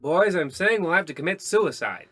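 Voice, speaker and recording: A man acts out a bombastic police detective from an old-style radio play. The voice sits fairly high and rings bright and forceful. It swings widely in pitch, pompous and impatient, as if every order were a proclamation. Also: expressive, moderate pace, husky, heavily acted. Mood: rousing, commanding. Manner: pompous, declamatory. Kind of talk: old-time radio comedy acting.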